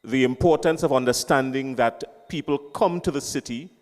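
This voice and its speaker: The voice rings out with a long hall reverb around it as a man presses an emphatic question at a public meeting. His pitch sits in an ordinary middle range. He stacks his points one after another, each phrase pushed home with firm repetition. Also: moderate pace. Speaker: audience member asking a question. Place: town hall meeting venue.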